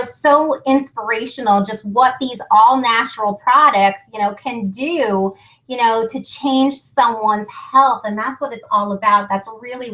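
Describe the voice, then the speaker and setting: A woman's voice: on the high side, fairly bright tone, low-quality recording. A woman hosting a business call, giving an enthusiastic, informal sales presentation built on testimonials. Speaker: business conference call host and product presenter. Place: conference call.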